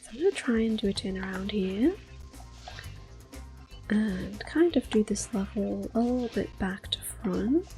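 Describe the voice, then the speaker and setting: A woman hosting ASMR gameplay, talking softly and gently with quiet laughs. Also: mid-range voice. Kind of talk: whispered ASMR gameplay commentary.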